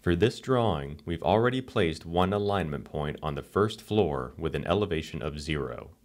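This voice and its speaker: A man giving calm, scripted narration for a software tutorial screencast. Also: rumbling low, moderate pace.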